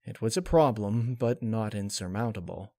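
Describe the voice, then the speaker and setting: A man's voice: lowish voice, moderate pace, dark tone, no room sound, clean studio sound. A man reading a piece of fan fiction aloud as a scripted narration. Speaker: storyteller.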